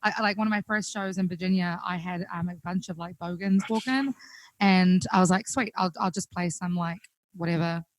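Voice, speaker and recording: A young woman with a New Zealand accent, chatting casually as a guest musician, full of fillers and quick laughs. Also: on the low side, poor audio. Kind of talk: casual chat on a call. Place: home, recorded remotely.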